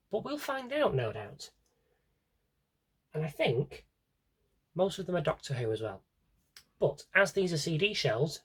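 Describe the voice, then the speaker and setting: A man's voice: mid-range voice, breathy edge. A man with a British accent chatting casually and wryly through a rambling show-and-tell as a vlogger, with self-deprecating asides. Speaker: vlogger showing a CD collection.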